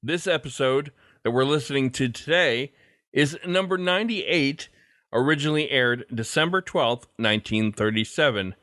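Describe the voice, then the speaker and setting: A man's voice: mid-range voice, normal speed, sharp tone, dry recording, studio quality. An American man hosting a podcast, reading a scripted introduction and promo. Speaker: podcast host.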